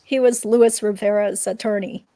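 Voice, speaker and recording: A woman's voice: mid-range voice, fairly even intonation, normal speed, dark tone, no echo. A woman hosting a livestream, chatting informally in a casual commentary style. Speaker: livestream host. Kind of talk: casual chat, true-crime commentary.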